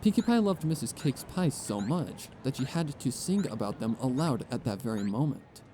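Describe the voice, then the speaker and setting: A man narrating a scripted fan fiction story as an expressive storyteller. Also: medium pitch, moderate pace, a little hiss or hum.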